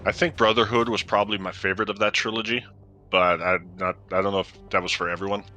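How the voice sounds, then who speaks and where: A man with a fairly low but very bright, forceful voice chats casually during a game. Faint music plays behind him. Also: fairly even intonation, fast talker. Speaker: co-player. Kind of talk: casual chat while gaming.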